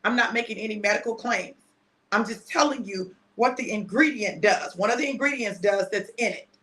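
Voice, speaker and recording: A woman in her fifties, chatty and repetitive, mixing a prophetic word with a casual sales pitch and often asking "right?". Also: sharp tone, poor audio. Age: 50s. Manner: chatty, repeats phrases, asks "right?". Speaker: preacher selling a product.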